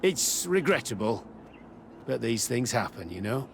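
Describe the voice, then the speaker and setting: A military camp captain for a video game, guarded and used to command. His mid-pitched voice is measured and curt, with faint outdoor ambience behind it. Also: big pitch swings, creaky, a little hiss or hum. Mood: grudging, weary. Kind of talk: video game dialogue acting.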